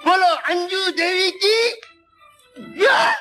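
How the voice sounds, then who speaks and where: An actor playing a cowardly, boastful, fawning village lawyer in an excitable 1950s Hindi film comedy style. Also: male voice, extremely high pitch, extremely slow, full character voice. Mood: jubilant, rousing. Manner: obsequious, excitable, blustering, pleading. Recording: old 1950s film soundtrack with music.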